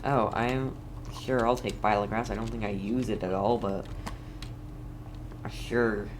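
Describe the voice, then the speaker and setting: A man giving casual, excitable and reactive commentary as he plays a video game. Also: lowish voice, measured pace, noisy background. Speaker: game streamer.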